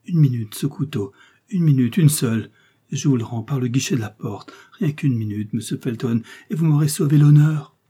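Male reader as young woman prisoner, scheming, theatrical pleading, feigned desperation, soft-edged tone, storybook drama. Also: mid-range voice, moderate pace, husky, voice pushed up. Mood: desperate pleading.

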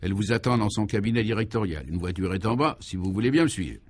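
A man's voice: fairly low pitch, expressive, quick pace. A man voicing a young, distinguished government attaché, formal, obliging and affected, in a comic radio serial.

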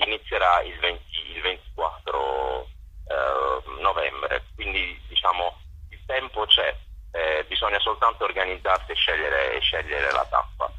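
A man's voice: sits low, sharp tone, slightly rough. A man organizing a photo exhibition, speaking in a radio interview by phone, hesitant with many fillers and restarts. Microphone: phone line. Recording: dull phone line.

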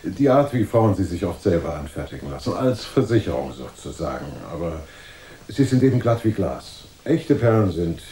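Shrewd cop, very deep voice, lively intonation, measured and knowing, noir radio play, roomy echo. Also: male voice, slight background noise. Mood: knowing, casual. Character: shrewd, unruffled male police detective, quietly authoritative. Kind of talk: noir radio drama acting.

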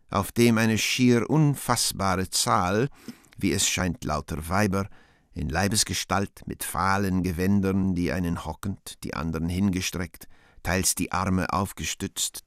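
A man reading stage directions as narrator of a radio play, in a measured, solemn way. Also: sits low, a bit bright, no echo, clean studio sound.

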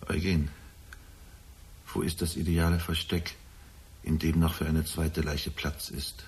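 An ageing, bitter father in a radio play. His voice sits low, and his manner is brooding and accusing, with a volatile, desperate edge. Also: little pitch movement, a little slow. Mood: grave, accusing. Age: older. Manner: brooding, accusing, prone to agitated outbursts. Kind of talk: German radio drama acting.

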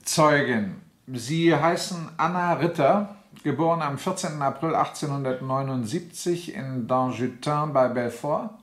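Man as stern, higher-voiced military judge. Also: measured pace, lightly acted. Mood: formal. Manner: commanding, formal, sardonic. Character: stern, sardonic military judge, a general presiding. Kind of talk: German radio play acting.

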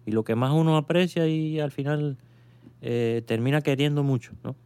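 A man's voice: a little high, normal speed, a little mellow, smooth, no echo. A man hosting a radio chat, thinking aloud with many fillers and tag questions. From Cuba. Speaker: radio show host.

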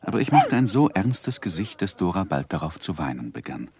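A man's voice: sits low, big pitch swings, brisk, a little mellow. An actor playing an earnest, tender, lovestruck young man who tells his life in a 1960s German radio drama, with careful literary phrasing. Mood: reflective narrating.

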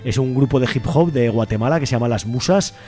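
A man's voice: mid-range voice, fast, dark tone, soft background music. A man with an accent from Spain, presenting a radio show in a conversational way. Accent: Spanish from Spain.

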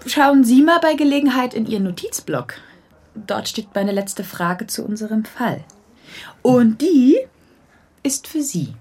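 An actress playing a cheeky, flirtatious colleague of detectives in a radio crime drama, with a teasing manner. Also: female voice, on the high side, expressive, a little slow, a little mellow, breathy edge.

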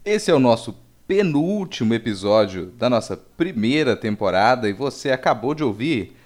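A man's voice: medium pitch, sing-song, normal speed, slight background noise. Brazilian man hosting a casual podcast. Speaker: podcast host.

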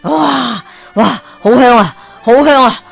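A woman's voice: on the high side, animated, takes it slowly, vocal fry, breathy edge, soft background music, full character voice. A woman voicing a greedy, eccentric old man in a radio storybook, with a Hong Kong Cantonese accent. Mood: greedy eager. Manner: rambling, greedy, then smug and satisfied.

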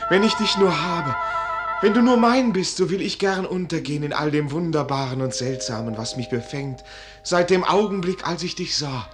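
A man's voice: a little high, moderate pace, over music, natural voice. An actor playing an earnest, easily awed young male student in a German fairy-tale radio play, theatrical and exclamatory. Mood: rapturous.

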